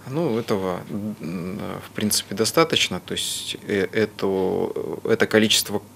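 A man in his thirties explains things in an interview with a very low, gravelly voice. He speaks haltingly, like a teacher working through an explanation. There is faint noise in the room. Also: fairly bright tone. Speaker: animation teacher. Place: indoor room, on-camera interview.

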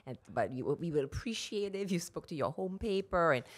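Una mujer de Singapur, comediante invitada en una charla de pódcast, que se ríe a menudo y cuenta anécdotas, grabada con micrófono de estudio en una sala pequeña. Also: female voice, on the low side, expressive, a little fast. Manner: laughs often, tells stories with quoted voices. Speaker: guest comedian. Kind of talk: casual podcast chat. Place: small studio room. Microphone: studio mic on boom arm with headphones.

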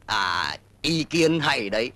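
An actor playing a blustering, gullible old bully of a wolf in a cartoon, boastful and theatrical. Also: male voice, medium pitch, sing-song, takes it slowly, pushed and bright, full character voice. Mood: pleased, pondering. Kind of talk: cartoon voice acting. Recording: music behind the voice.

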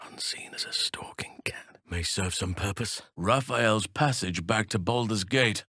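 Fantasy game elf druid: lowish, dry sound, wise, steadfast, measured and formal. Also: male voice, pushed and bright, breathy edge, no echo, exaggerated voice. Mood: stealthy whisper. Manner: measured, formal, solemn phrasing. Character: large wise gentle elf druid man, earnest and steadfast. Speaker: video game companion character. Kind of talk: acted fantasy game dialogue.

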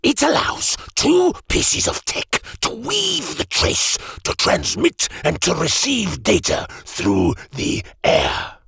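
A man voicing a greedy, volatile mage with a metal arm and a glowing eye, in a raspy, breathy voice that hisses threats and breaks into frantic shouts. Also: sits high, wildly swooping pitch, slow, hardly any pauses, dry recording, studio quality, pitched above the performer's own voice, full character voice. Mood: hissing threat. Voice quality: raspy, breathy whisper sliding into shrieks. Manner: theatrical, snarling, swings from hissing threat to frantic shouting. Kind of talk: audiobook character voice.

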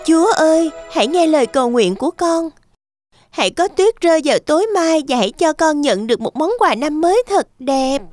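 A woman plays a sweet, excitable young girl in a cartoon fairy tale. The voice is extremely high, eager and childlike, recorded dry over music. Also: brisk, no room sound. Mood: hopeful, pleading.

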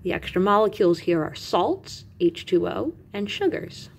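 A woman reading educational material aloud as a teacher, with animated emphasis and carefully separated lists. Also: medium pitch, moderate pace, gravelly, a little hiss or hum. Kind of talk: expressive educational read-aloud.

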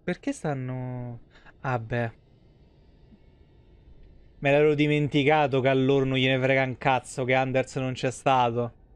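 A man's voice: medium pitch, little pitch movement, unhurried, pauses often, clean tone, no room sound. A man around his thirties, giving casual, slangy, sweary live commentary on a game. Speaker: game streamer. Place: indoor streaming room at home.

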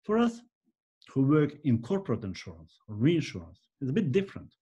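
A man's voice: a little fast, breathy edge. An older man in his sixties from Russia, speaking English with a Russian accent, giving an unscripted lesson to a webcam from his home and gesturing freely. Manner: animated, gesturing, lively swings in pitch. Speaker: insurance specialist, channel host. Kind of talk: unscripted talk to camera. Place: home room, indoors. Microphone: webcam at desk.

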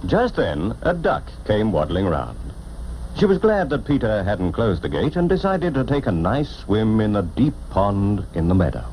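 A man narrating a children's storybook cartoon in a theatrical, expressive way, on an old film soundtrack. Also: lowish voice, normal speed, noisy background. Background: orchestral music.

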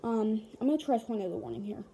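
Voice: medium pitch, lively intonation, fast talker, vocal fry. A speaker giving a casual how-to demonstration, talking informally and directly to viewers while working with gear. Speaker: hobbyist video maker demonstrating weather radios. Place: small carpeted room at home, indoors. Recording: noisy handheld home recording with clicks.

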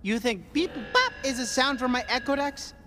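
A man playing a cocky, excitable, tech-savvy young hustler in a video game, talking boastfully. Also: very high voice, normal speed, noisy background. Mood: eager.

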